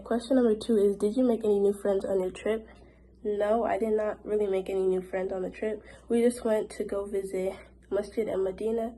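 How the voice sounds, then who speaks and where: A woman's voice: medium pitch, dark tone, a little hiss or hum, low-quality recording. A young woman vlogger chatting casually to camera in a Q&A, her voice a little off from a cold, with many fillers like "like". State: recovering from a cold, voice slightly off.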